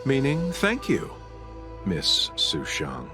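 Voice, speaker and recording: A man's voice: medium pitch, huge pitch swings, slow pace, over music. A man acting a genial, courteous, theatrical traveller in a fantasy game. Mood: genial, grateful. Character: genial, smooth-talking, courteous male traveller.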